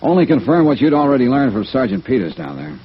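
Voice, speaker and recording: Wry, hard-boiled American investigator for 1950s radio noir: clipped, very lively intonation, muffled old-broadcast sound. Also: male voice, on the high side, fast, creaky, husky, full character voice. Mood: weary, insistent. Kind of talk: 1950s radio detective drama acting and narration. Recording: muffled vintage radio recording, faint music.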